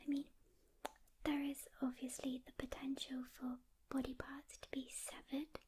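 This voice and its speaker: A woman speaking in a hushed, gentle ASMR whisper, unhurried, playing a calm demon hunter who talks directly to the listener. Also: high voice. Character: calm experienced female demon hunter briefing a newcomer. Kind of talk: soft-spoken whispered ASMR roleplay.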